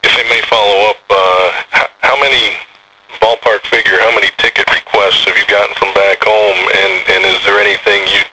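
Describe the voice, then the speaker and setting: Reporter, man, monotone and piercingly bright, hesitant questions over the phone. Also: on the low side, a little hiss or hum, low-quality recording. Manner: halting, hesitant, fillers. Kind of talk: phone press conference questions. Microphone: phone line.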